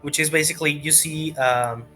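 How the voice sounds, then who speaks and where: A man's voice: a little high, fairly even intonation, normal speed, pushed and bright, faint music behind. A man narrating a tabletop game as game master in a casual, improvised way, often searching for words.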